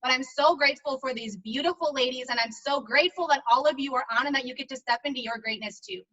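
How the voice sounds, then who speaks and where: High bright woman, grateful, encouraging, distant dull video-call sound. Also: fast. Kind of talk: personal testimonial on a group call.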